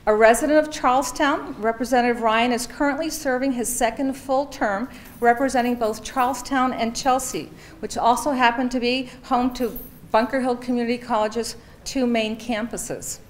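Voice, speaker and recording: A woman's voice: on the high side, fairly even intonation, moderate pace. A woman introducing speakers at a graduation ceremony, reading out titles and stumbling now and then over names. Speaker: program presenter introducing speakers.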